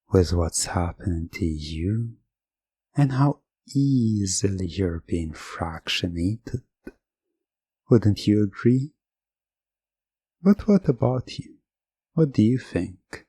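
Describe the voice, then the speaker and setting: A man giving soft, commanding, repetitive hypnotic suggestions in an ASMR roleplay, close to the mic. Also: medium pitch, vocal fry, slightly rough, no room sound.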